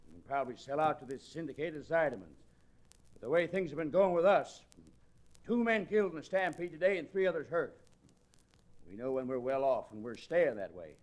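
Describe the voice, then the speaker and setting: An actor playing a gruff, surly cowhand with an American Western accent and folksy speech in a 1940s radio western. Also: male voice, fairly high pitch, expressive, fairly quick, natural voice. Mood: stubborn, grim. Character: gruff, surly cowhand, ringleader of quitters and henchmen.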